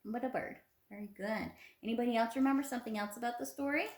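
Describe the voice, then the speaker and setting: A woman's voice: a little high, quick pace. Young female teacher for a children's read-aloud, encouraging delivery, echoey room sound. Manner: encouraging, prompting with simple questions. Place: small indoor room at home. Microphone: phone at arm's length. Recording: roomy, echoey phone recording.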